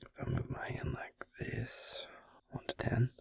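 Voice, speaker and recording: A man playing a gentle, wry vampire healer in an intimate ASMR roleplay, speaking slowly and soothingly in a breathy, husky near-whisper. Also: close to an everyday voice. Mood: soft, focused. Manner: slow, soothing, folksy endearments.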